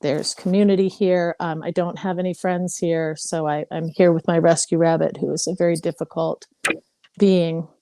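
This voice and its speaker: Candid woman in her fifties, warm. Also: on the low side, little pitch movement, normal speed, no echo. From Hawaii. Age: 50s. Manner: candid, rambling, many fillers. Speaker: participant. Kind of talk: casual personal sharing.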